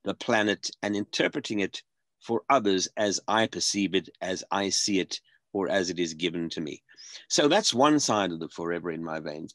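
A man in his seventies from South Africa, a guest on a video call interview, speaking earnestly and halting over repeated starts. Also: low voice, a bit bright, no echo. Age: 70s. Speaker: guest filmmaker. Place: home room.

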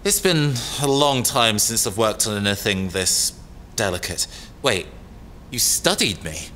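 A young tech whiz in a sci-fi audio drama. His voice is bright and animated, explaining with uneasy hesitation over faint ambience. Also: fairly low pitch, measured pace, slight background noise. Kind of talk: naturalistic audio drama acting.

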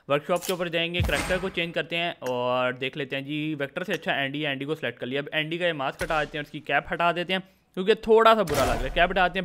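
A man's voice: on the high side, a bit bright, slight background noise. A man with a Pakistani accent doing a casual, informal game commentary voice-over, mixing in English words. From Lahore, Pakistan. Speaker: gaming video host.

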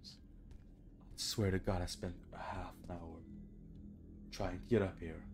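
A man's voice: deep voice, steady tone, unhurried, music in the background. A young adult man giving casual, muttered video game commentary indoors, frustrated and swearing freely. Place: indoor room at home.

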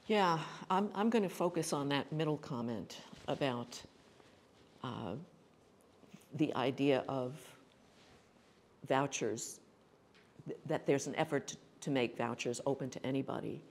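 An older woman on a forum panel, explaining policy in an earnest, measured way with some restarts, speaking into a table mic in a hall. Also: rumbling low. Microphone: table mic on a stand.

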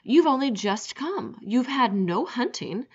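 A woman's voice: fairly high pitch, sing-song, unhurried, breathy edge, pitched above the performer's own voice, full character voice. A woman voicing a suave, menacing aristocratic general with a genteel, relishing manner, on a dull lo-fi recording. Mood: courtly, menacing.

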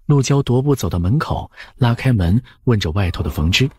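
A man's voice: mid-range voice, lively intonation, a little fast, warm tone, breathy edge. A man narrating an audiobook in a scripted storytelling style.

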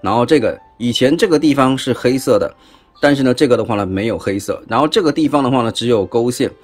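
A man's voice: medium pitch, quick pace, faint music behind. A man with a Taiwanese accent giving a chatty, casual hands-on toy review, often tossing in rhetorical questions. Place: indoors at a tabletop.

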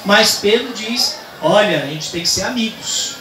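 A man's voice: high-pitched, expressive, unhurried, far from the mic, background noise. A man with a Brazilian Portuguese accent, a priest giving an earnest homily that builds to emphatic points at an outdoor night Mass under a tent. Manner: earnest storytelling, rising to emphatic. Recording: roomy sound over a loud crowd.

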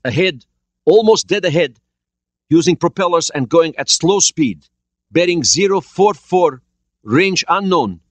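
A man's voice: high voice, lively intonation, fairly quick, sharp tone, dry recording, voice pushed up. A man voicing a nervous male Soviet petty officer who reports sonar contacts hesitantly. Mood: anxious.

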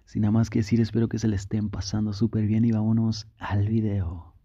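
A man casually introducing an ASMR video in a soft-spoken way, as the channel's host. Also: lowish voice, little pitch movement, fairly quick, no echo, lo-fi sound.

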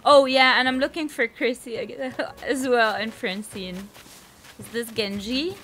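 Chatty Egyptian woman gaming, slow-paced. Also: on the high side, fairly even intonation, a bit bright. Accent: Egyptian Arabic. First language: Arabic. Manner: chatty, spontaneous, giggly. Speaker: gaming YouTuber. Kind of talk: casual gameplay commentary. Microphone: headset.

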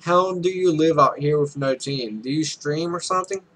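Young male let's player, bright and slightly high voice, casually reads visual novel text with stumbles and offhand swearing. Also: moderate pace, a little hiss or hum. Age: young adult. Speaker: let's play host. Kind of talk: reading a visual novel aloud with casual commentary.

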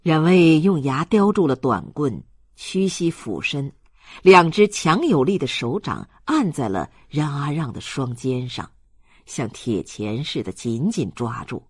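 An audiobook narrator reading a novel in a measured, expressive storytelling style. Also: medium pitch, breathy edge, no echo, studio quality. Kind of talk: scripted novel narration, slow dramatic storytelling.

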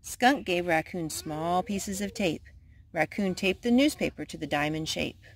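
A woman's voice: lowish voice, a little slow. A woman reading a children's picture book aloud at storytime. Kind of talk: read-aloud storytime narration.

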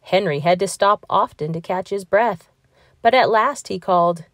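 A woman reads a children's storybook aloud in an expressive, deliberate storytelling manner. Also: mid-range voice, dry recording, clean studio sound. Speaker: storybook reader. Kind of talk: children's read-aloud narration.

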